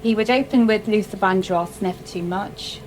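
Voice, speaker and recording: A woman from South Wales reading her own short story aloud in a measured, unhurried way with soft, dry humour. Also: medium pitch, a little hiss or hum, rough recording.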